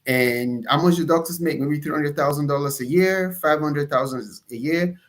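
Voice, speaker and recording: A man's voice: on the high side, normal speed. A man from Nigeria with a Nigerian accent, speaking as an interview guest in an emphatic, conversational storytelling way. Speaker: interview guest, entrepreneur and pastor.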